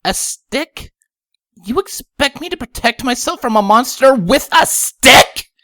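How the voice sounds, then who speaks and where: A woman's voice: sits high, lively intonation, a little slow, a bit bright, no echo, clean studio sound. A woman voicing a frightened, bossy young female unicorn in a dramatized story reading. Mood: incredulous. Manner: exclamatory, demanding.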